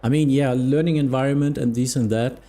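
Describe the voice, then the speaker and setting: A man from Germany with a German accent, speaking English as a second language, chatting casually with viewers on a live stream, often pausing to search for words and restarting sentences. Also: medium pitch, steady tone, warm tone, faint music behind. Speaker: flight sim streamer and air traffic control mentor.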